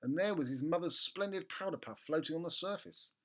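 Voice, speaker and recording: A man around forty narrates a children's storybook in an expressive style. His voice sits a little high, recorded close on a mic at home. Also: fairly quick. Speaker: storybook reader. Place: living room at home. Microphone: close studio mic with pop filter.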